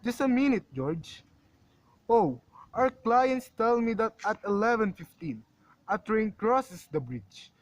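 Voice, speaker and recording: A man playing a commanding, methodical crime boss in an amateur radio play, giving deliberate instructions on a slightly noisy recording. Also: high-pitched, very animated, dry recording, dull audio, heavily acted. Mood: cold, methodical.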